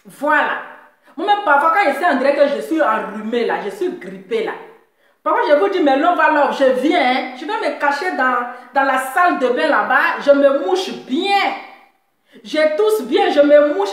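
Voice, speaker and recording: A woman's voice: high-pitched, lively intonation, a little slow. A woman with a Central African French accent ranting on a live stream, mocking and laughing, repeating her points. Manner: scolding, laughing, repeats phrases. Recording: very echoey room.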